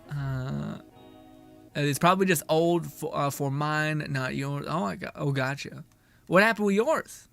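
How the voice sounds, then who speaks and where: Young male streamer, easygoing and profane, slightly high voice over faint music. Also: sharp tone. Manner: laid-back, swears, laughs often. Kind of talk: casual gameplay chat, reading chat aloud.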